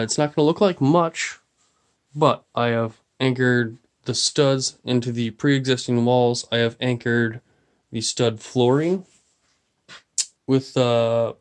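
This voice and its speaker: A man's voice: medium pitch, smooth. A man with a nasal, stuffy voice from a cold, casually rambling through a tour of his cluttered garage workshop on a handheld phone. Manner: rambling, many fillers. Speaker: vlogger. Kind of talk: casual shop tour vlog. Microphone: handheld phone camera.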